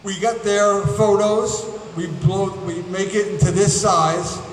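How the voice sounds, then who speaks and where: Man, ceremony emcee, formal measured reading, echoey hall, distant from the mic, faint hum behind. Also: sits high, steady tone. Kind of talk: ceremony speech, reading biographies aloud. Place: large museum hall. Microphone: podium microphone.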